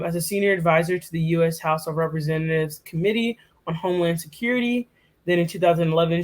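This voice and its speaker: A young woman co-hosting a podcast, giving a casual, chatty rundown full of fillers and restarts. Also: lowish voice. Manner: chatty, filler-heavy, self-correcting. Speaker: podcast co-host. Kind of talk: casual presentation.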